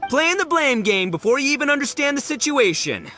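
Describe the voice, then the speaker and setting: A brash gang tough in an anime-style game. He is scornful and indignant, his high, forceful voice swinging wildly with theatrical flair. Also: very animated, fairly quick, soft background music, full character voice. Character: brash, indignant young male gang member.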